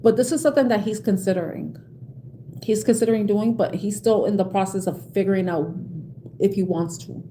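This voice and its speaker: A woman's voice: mid-range voice, a little fast, warm tone, a little hiss or hum, poor audio. A middle-aged woman from the South Bronx with a New York accent, giving a live tarot reading and chatting with viewers in a reassuring, rambling way, full of fillers and restarts. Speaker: tarot reader.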